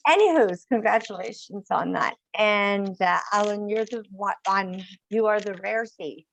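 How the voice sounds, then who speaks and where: An older woman hosting a conversational interview, speaking in a halting way with phrases that trail off. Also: medium pitch, gravelly, rough recording. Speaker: host interviewing guests.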